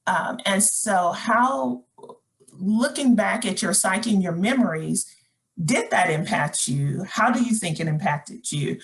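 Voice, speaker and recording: A woman's voice: medium pitch, lively intonation, takes it slowly. A middle-aged woman presenting a webinar workshop, talking conversationally with fillers and restarts while reading chat comments aloud.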